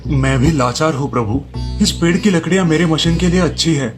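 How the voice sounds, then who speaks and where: A man's voice: a little high, brisk, soft background music, close to an everyday voice. Man playing a humble, earnest village weaver. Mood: pleading. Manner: earnest, deferential. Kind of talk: animated Hindi storybook tale.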